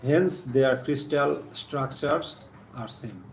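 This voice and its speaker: A man with a Bangladeshi accent giving a live chemistry lesson, partly reading aloud in a halting, deliberate manner, repeating phrases. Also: mid-range voice. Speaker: science teacher hosting a live stream. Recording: echoey room with faint background noise.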